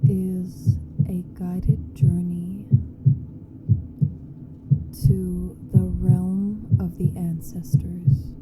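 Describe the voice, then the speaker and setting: A woman leading a guided meditation in a hushed, deliberate manner with long pauses. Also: level tone, rough recording. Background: meditation music with a low hum.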